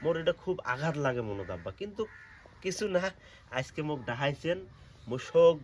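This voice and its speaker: A man acts out a cheeky young eldest son in a comedy skit. He has a northern Bangladeshi accent and talks quickly in a slightly high voice, with clear noise behind him. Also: sing-song. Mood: eager, flattering. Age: young adult. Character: lovestruck, cheeky eldest son who defies his father. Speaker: comedy skit performer and channel host. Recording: clearly noisy background.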